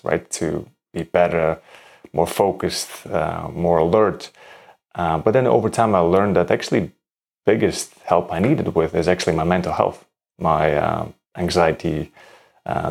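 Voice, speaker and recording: A man from Latvia in his thirties, a breathwork teacher answering interview questions in a calm, reflective way with slightly halting phrasing. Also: low-pitched, creaky, slightly rough.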